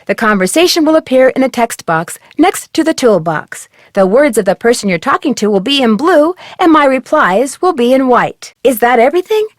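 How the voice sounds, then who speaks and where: A woman's voice: sits high, big pitch swings, moderate pace, few pauses, dry recording, clean studio sound. A woman playing an eager, polite young amateur detective in an adventure game, with a perky, inquisitive, scripted delivery. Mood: cheerful, instructive. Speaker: game protagonist and tutorial guide.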